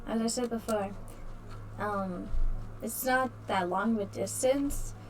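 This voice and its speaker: A woman's voice: on the high side, slow pace. A woman doing casual let's play commentary and reading game text aloud, meandering and stumbling over words. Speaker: game streamer. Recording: slightly distant mic, faint game music.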